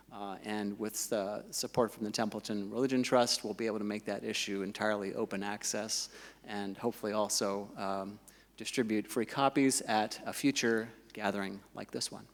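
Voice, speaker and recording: A man speaking on a conference panel as a journal editor, halting with many fillers. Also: fairly low pitch, vocal fry, big-room sound. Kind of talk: panel discussion.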